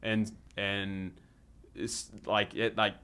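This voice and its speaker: A man's voice: lowish voice, little pitch movement, extremely slow, pushed and bright, smooth. A man hosting a casual movie review chat, talking in a rambling way and swearing freely. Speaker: podcast host.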